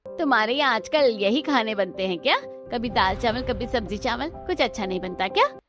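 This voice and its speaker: A woman's voice: a little high, animated, moderate pace, over music, natural voice. A woman voicing a nosy, pushy neighbourhood auntie in a cartoon story, blunt and outspoken. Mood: critical.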